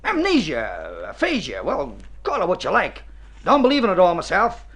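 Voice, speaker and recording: An actor playing a hearty, folksy small-town American druggist out on a spree, chuckling and backslapping in golden age radio drama style. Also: male voice, high voice, wildly swooping pitch, moderate pace, fairly bright tone, heavily acted. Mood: scornful, folksy. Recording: noisy vintage radio drama recording.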